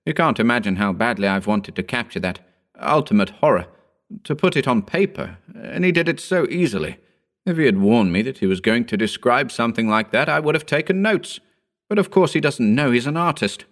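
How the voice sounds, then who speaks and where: A man voicing a vain, scornful, excitable male horror writer in a pompous, declamatory audiobook style. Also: mid-range voice, a little fast, pitched above the performer's own voice, natural voice. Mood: apologetic, wistful. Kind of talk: dramatic audiobook character reading.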